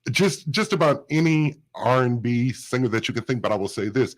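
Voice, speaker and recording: A man with a deep voice, talking about his radio career in a casual studio interview with an upbeat, animated way of telling stories. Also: fairly quick. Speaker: radio host, guest.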